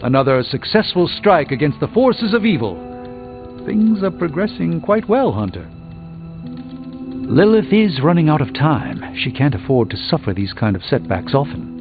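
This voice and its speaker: An actor playing a proud, learned middle-aged sorcerer superhero in a video game, with formal, pompous and erudite phrasing. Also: male voice, on the high side, sing-song, moderate pace, phone-quality sound, music in the background. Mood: measured, assured.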